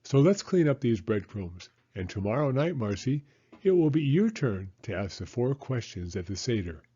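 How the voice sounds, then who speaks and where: Man playing a mellow, patient storybook dad. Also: medium pitch, very animated, moderate pace, slightly rough, lo-fi sound. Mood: patient, explaining. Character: patient, encouraging storybook father.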